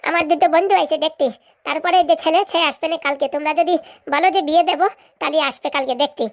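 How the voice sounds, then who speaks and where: Squeaky young cartoon matchmaker man. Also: steady tone, fast. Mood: eager. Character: chatty young male village matchmaker, cartoon. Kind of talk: comic cartoon dialogue.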